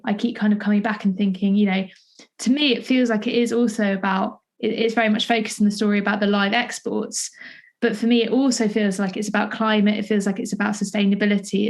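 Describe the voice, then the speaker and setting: A woman's voice: mid-range voice, gravelly. A young British woman conversationally interviewing, with a tentative, discursive way of thinking aloud. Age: young adult. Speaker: podcast interviewer and playwright.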